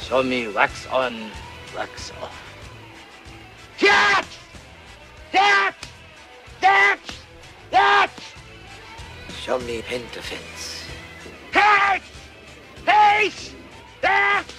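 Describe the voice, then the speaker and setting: Casting: stern older karate master, clipped commands, drawn-out pacing, very high barks, film drama. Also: male voice, huge pitch swings, loud music behind the voice, heavily acted. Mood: stern, commanding. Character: stern older martial arts master drilling his pupil.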